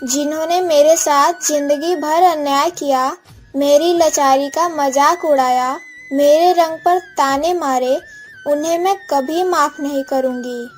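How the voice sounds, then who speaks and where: High voice, slow pace, wronged proud orphan girl acted by a woman, earnest and dramatic, moral-story cartoon. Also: fairly even intonation, faint music behind. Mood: bitter, resolute. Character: wronged orphan village girl, pleading, later proud shopkeeper.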